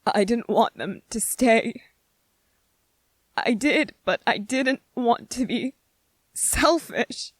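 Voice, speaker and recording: A woman playing an anxious, self-doubting, gentle young male soldier in a scripted ASMR roleplay monologue, speaking softly and haltingly with a husky voice. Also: mid-range voice, dry recording. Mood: anxious, wistful. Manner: hesitant, halting, with repeated phrases and pauses.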